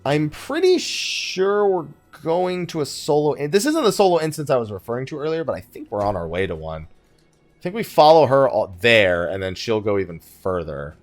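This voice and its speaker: Man with lively, swinging pitch, casual game commentary. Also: normal speed, soft background music. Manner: thinking aloud, wry, conversational. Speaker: gaming YouTuber, host of the let's play. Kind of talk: casual let's play commentary.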